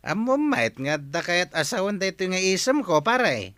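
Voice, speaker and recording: Bright-voiced, teasing Ilocano village man. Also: high-pitched, big pitch swings, measured pace, no echo, heavily acted. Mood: tipsy, jovial. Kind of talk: Filipino radio comedy drama acting.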